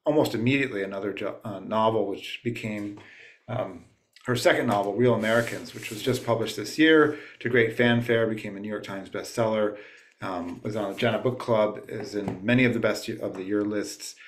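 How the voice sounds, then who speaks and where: A man's voice: lowish voice, steady tone, moderate pace, a little hiss or hum. A man hosting a book club over a video call, introducing a guest author with frequent hesitant fillers. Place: at home late at night.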